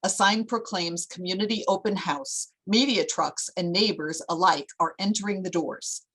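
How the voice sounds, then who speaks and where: A woman's voice: fairly low pitch, normal speed, a bit bright, husky, rough recording. A woman narrating a screenplay at a table read, reading out scene headings and stage directions.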